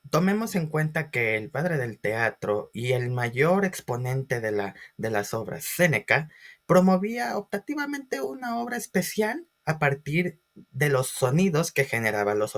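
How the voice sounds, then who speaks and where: A man presenting an informal online class as a teacher, expressive and speaking directly to his listeners. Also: on the high side. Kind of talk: informal educational lesson for video.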